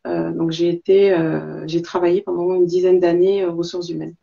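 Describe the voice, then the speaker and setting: Woman in her 40s from southern france, gravelly relaxed voice, casual interview guest, muffled call. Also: fairly low pitch, moderate pace. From Marseille, southern France. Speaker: interview guest, entrepreneur and school coach.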